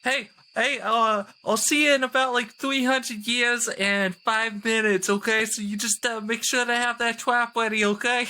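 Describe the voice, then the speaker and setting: A man's voice: very high voice, steady tone, moderate pace, hardly any pauses, sharp tone, pitched above the performer's own voice, heavily acted. A man playing a brash, frantic time-travelling adventurer in a tabletop game, blurting things urgently and repeating himself.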